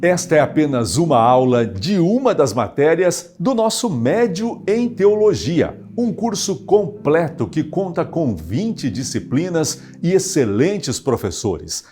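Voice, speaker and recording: A man's voice: on the high side, big pitch swings, a little slow, hardly any pauses, warm tone. A Brazilian man reading a scripted promotional narration as an announcer. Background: background music.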